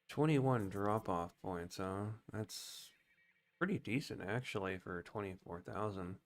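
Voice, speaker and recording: Low-voiced man giving casual game commentary. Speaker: video game streamer. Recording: faint background noise.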